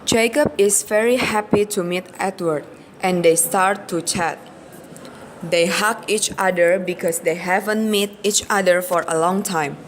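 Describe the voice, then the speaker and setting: A woman's voice: mid-range voice, measured pace, a little hiss or hum, low-quality recording. A woman narrating an amateur radio play from a script.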